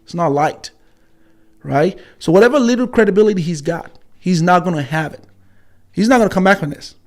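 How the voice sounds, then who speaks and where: A man in his forties hosting a live stream, combative and repetitive, with frequent fillers and pauses. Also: sits high, expressive. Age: 40s. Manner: combative, repeats himself for emphasis, frequent fillers. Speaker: channel host.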